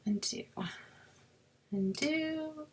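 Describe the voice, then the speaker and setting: A woman with a sore, scratchy throat, explaining a crafting software task step by step in a chatty way and muttering to herself as she clicks. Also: sits high, expressive, extremely slow. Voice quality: raspy with creaky fry. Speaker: crafting tutorial host. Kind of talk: screen-recorded software walkthrough.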